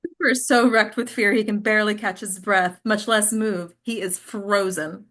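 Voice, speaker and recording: A woman's voice: mid-range voice, steady tone, moderate pace, a bit bright. A woman reading screenplay action lines as scripted narration at a table read. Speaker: stage directions reader.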